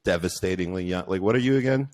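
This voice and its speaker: A man's voice: sits low, fast talker, a little mellow. A man in casual podcast chat, rambling with many restarts and teasing the others.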